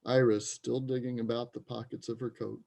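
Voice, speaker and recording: Gravelly, mellow male stage-direction narrator. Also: mid-range voice, level tone, a little fast. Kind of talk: scripted narration in reader's theater.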